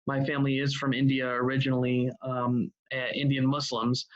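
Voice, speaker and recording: A middle-aged man with an American accent giving an informal online presentation, speaking in deliberate, halting phrases with many filled pauses. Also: mid-range voice, completely flat delivery. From United States, Ohio. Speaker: guest presenter, workshop leader. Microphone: video call. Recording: dull, lo-fi video call audio.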